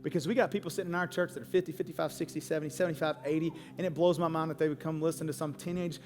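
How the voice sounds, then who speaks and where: A man's voice: a little high, fairly even intonation, rapid-fire, darkish tone. A man in his forties preaching a sermon as a pastor, speaking emphatically and candidly and repeating phrases for effect. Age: 40s. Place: large church auditorium. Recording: long hall reverb, music underneath. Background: music.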